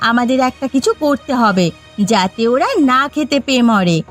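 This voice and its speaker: A high-pitched, cruel young wife from a village cartoon, acted by a woman. She scolds and bosses with swooping scorn, always scheming, with music underneath. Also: lively intonation, moderate pace, lightly acted. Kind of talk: animated moral story voice acting.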